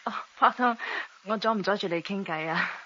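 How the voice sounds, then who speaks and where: A woman's voice: medium pitch, a little fast, pushed and bright, lo-fi sound, lightly acted. An actress playing a poised, possessive career woman, sugary and subtly condescending, in a Hong Kong Cantonese radio drama. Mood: coy, testing.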